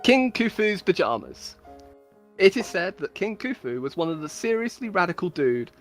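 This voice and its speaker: A woman's voice: fairly low pitch, sing-song, moderate pace, soft background music. A woman giving a playful, mock-solemn dramatic reading of her own comic stories, like a storyteller. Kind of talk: comedic dramatic reading of scripted tales.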